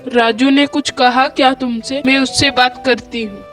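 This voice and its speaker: A woman's voice: high voice, fairly even intonation, moderate pace, a bit bright. Woman voicing a fretful old mother. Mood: worried. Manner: pleading, motherly. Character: kind widowed old mother, gentle and worried. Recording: music bed under the voice.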